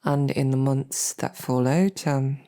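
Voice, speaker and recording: An actress playing a smitten lowborn royal guard in an audio roleplay, with a halting, self-deprecating, earnest way of speaking. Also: female voice, fairly even intonation, warm tone, full character voice. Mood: smitten, awkward. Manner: halting, self-deprecating, colloquial tag questions. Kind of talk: scripted audio roleplay, halting heartfelt banquet speech.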